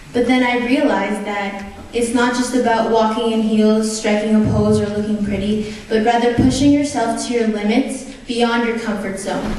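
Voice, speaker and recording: A woman's voice: fairly high pitch, darkish tone, a little hiss or hum. A woman pageant candidate giving a composed, rehearsed answer onstage. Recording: echoey theatre from far off.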